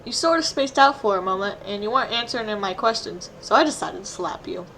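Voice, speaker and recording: A woman voicing a mischievous, excitable, doting boyfriend in a theatrical comedic fan fiction reading. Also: medium pitch, quick pace. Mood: casual, tender. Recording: clearly noisy home recording.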